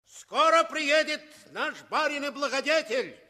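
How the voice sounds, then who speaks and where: An actor playing a bullying, servile estate steward, barking orders in a 1960s Soviet radio play. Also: male voice, extremely high pitch, unhurried, bright, forceful tone, heavily acted. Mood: bullying, threatening. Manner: barking, haranguing, repetitive orders. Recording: reverberant hall with crowd murmur.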